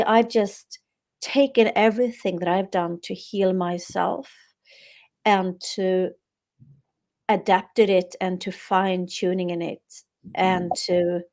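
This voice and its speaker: A middle-aged Swedish woman whose first language is Swedish speaks in a lowish voice with a fairly flat melody. She talks earnestly and reflectively about her work, often restarting her sentences as she goes. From Sweden. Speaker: guest author and yoga therapist. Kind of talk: podcast interview conversation.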